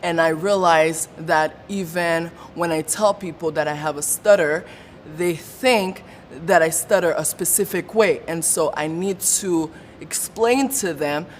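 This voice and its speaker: A woman telling her own story of living with a stutter, with repeated sounds, blocks and pauses. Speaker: support group member sharing her story. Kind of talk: personal testimony. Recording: long room reverb with faint low rumble.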